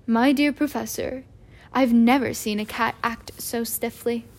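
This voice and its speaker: A woman voicing a calm, gently amused old male wizard headmaster, in a mild, unhurried way. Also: a little high. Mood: gentle.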